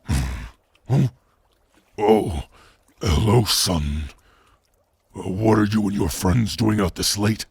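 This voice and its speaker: A man voicing a sheepish, defensive werewolf father in an ASMR roleplay audio drama, with a gravelly, husky voice. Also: fairly low pitch, slow, long pauses, darkish tone, no echo, pitched below the performer's own voice, exaggerated voice. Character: sheepish werewolf father caught eating his kill.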